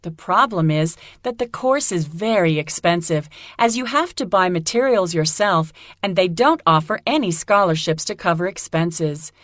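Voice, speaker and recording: An actress playing an eager, creative young student in a clearly spoken, scripted listening-exam conversation. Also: female voice, lowish voice, animated, moderate pace, few pauses, a bit bright, dry recording. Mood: concerned, eager.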